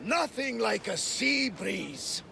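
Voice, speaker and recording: Hearty gravelly ship captain. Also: male voice, high voice, very animated, slow pace, sharp tone, exaggerated voice. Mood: easygoing. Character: genial seasoned ship captain, curious and loyal. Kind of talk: conversational video game acting.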